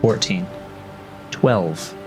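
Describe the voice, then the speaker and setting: A man's voice: low-pitched, very slow, reverberant, big-room sound. A man making casual remarks as a player in a tabletop role-playing game. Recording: loud music bed over voice. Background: loud background music.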